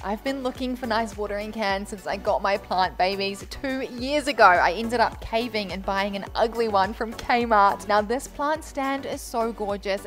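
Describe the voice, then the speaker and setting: Chatty woman gushing over shop finds. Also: medium pitch. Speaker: vlogger.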